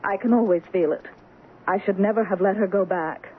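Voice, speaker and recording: An actress playing a fey, anxious young wife with premonitions in a 1970s radio drama, breathless and urgent. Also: female voice, mid-range voice, a little fast, close to an everyday voice. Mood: anxious, pleading. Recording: tinny, slightly noisy 1970s radio.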